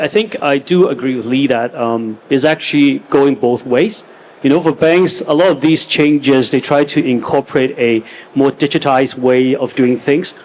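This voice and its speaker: Guy from hong kong on a fintech panel, fairly high voice, lots of restarts. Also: a little mellow, slight background noise, rough recording. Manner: hesitant, many restarts and fillers. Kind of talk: panel debate. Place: conference stage.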